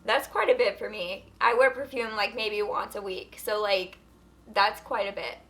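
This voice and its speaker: A young woman with a hoarse voice from a sore throat, chatting casually as she reviews beauty products, full of filler words. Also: a little high, bright, forceful tone. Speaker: beauty vlogger.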